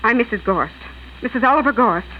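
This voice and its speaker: An American actress playing a bitter, flustered wronged wife in a 1940s radio drama. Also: female voice, a little high, expressive, brisk. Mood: indignant, bitter. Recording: dull old radio recording, faint noise.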